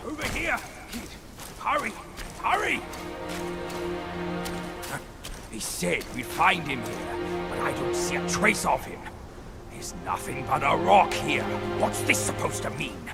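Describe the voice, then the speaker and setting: Game companion creature, cheeky and irreverent, big melodic swings, unhurried delivery, playful. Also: male voice, a little high, vocal fry, over loud music, full character voice. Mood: hurried, puzzled. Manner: chatty, playful, expressive. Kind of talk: video game cutscene acting.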